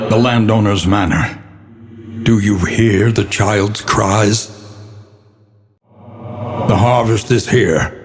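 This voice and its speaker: Gothic horror game narrator, male: very slow, grave and portentous, deliberate theatrical diction, drenched in cavernous reverb. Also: on the low side.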